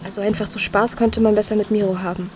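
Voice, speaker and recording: A woman's voice: mid-range voice, little pitch movement, quick pace, music in the background, lightly acted. A young woman playing a candid, shaken teenage daughter in an audio drama, speaking informally. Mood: candid, chatty. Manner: informal, youthful. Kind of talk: audio drama acting, podcast chat.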